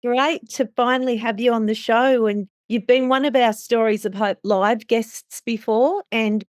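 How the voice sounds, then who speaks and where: A woman's voice: on the high side, fairly even intonation, unhurried, no room sound. Woman podcast host, dry sound. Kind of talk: conversational interview hosting.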